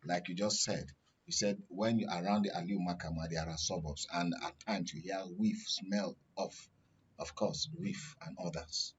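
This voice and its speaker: A man's voice: low-pitched, fairly even intonation, normal speed, slight background noise. A man with a Nigerian accent giving current affairs analysis as a studio guest, explaining by posing questions and answering them. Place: radio and TV studio. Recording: dull, lo-fi broadcast sound.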